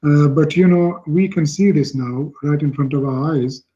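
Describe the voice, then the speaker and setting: Male caller, hesitant, somewhat high, mellow tone, lo-fi call sound. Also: moderate pace. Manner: hesitant, many fillers. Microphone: call over the internet.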